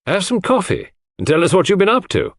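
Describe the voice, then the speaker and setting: A man voicing a hearty, decisive country gentleman with a brisk, practical manner in an audiobook. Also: high voice, huge pitch swings, dry recording, studio quality, pitched above the performer's own voice, full character voice. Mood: jovial.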